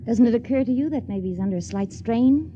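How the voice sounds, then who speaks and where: An actress playing a brisk, caring space stewardess with a hidden heartache in a 1950s radio drama. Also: female voice, fairly high pitch, lively intonation, mellow, natural voice. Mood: reproachful. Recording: muffled 1950s radio recording with hiss.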